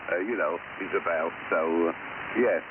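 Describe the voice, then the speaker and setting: A man's voice: low-pitched, moderate pace. A retired older man on an amateur radio net, talking in a rambling, hesitant way and spelling call signs phonetically. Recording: tinny shortwave radio with static.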